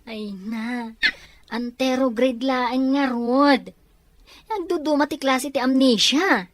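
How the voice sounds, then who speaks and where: A woman voicing a patient male friend who calmly explains and reassures. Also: sits high, takes it slowly, dry recording.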